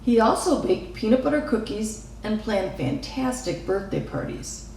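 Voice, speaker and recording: A woman voicing a gentle, reassuring storybook mother who explains things calmly and patiently. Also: mid-range voice, normal speed. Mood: fond, explaining. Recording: echoey room, distant mic, faint noise.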